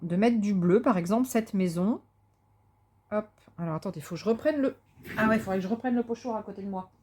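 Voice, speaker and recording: A woman of mid pitch chats casually as she works on a craft project. She thinks aloud with plenty of fillers and restarts and gushes about colours. Now and then she drops into soft muttering to herself. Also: fairly quick, a little hiss or hum. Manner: talks to herself softly while working. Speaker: craft vlogger.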